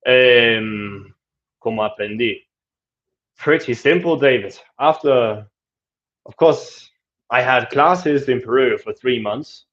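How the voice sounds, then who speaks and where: A man's voice: mid-range voice, slow pace, long pauses, fairly bright tone. Man in a casual, chatty Q&A. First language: Danish. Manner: chatty, easygoing, reading comments aloud with small restarts. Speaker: travel vlogger answering viewer questions. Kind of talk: casual live Q&A, switching between English and Spanish. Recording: dull lo-fi sound.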